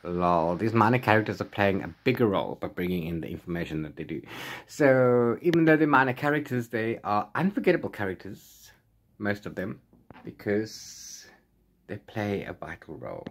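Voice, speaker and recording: A man's voice: fairly low pitch, very animated, normal speed. Rambling, playful man chatting casually. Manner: rambling, self-correcting, playful. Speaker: book reviewer vlogger. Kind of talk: casual unscripted chat to camera. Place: small room at home with bookshelves.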